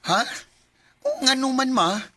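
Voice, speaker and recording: A devoted, gentle young son, noisy audio. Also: male voice, extremely high pitch, wildly swooping pitch, a little slow, full character voice. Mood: eager, supportive. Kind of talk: Bisaya radio drama acting. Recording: clearly noisy radio drama with background ambience.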